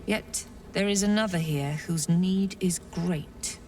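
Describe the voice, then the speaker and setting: A superior priestess for a fantasy game. She speaks in measured, stern tones, low and slightly distant over faint noise. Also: darkish tone. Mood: solemn. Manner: measured, condescending. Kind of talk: video game voice acting.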